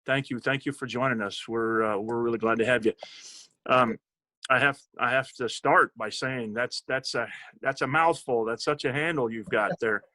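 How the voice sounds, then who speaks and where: A male podcast host with a bright, forward voice asks friendly questions. He stumbles a little, repeating words and using fillers as he goes. His pitch is ordinary for a man. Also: normal speed. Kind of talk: casual interview.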